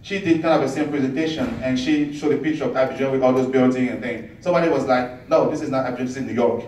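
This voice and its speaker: A man from Ivory Coast fielding questions after a talk, speaking in halting stretches full of fillers and restarts. He sounds far from the microphone, in a very echoey room with faint background noise. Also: medium pitch, fairly even intonation, darkish tone. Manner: halting, many fillers and restarts, laughs easily. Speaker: student presenter answering audience questions. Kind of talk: Q&A after a presentation.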